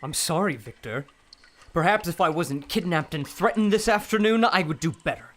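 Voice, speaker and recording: A man's voice: high voice, expressive, normal speed. An actor playing a nervous, kidnapped male scientist who stammers anxiously.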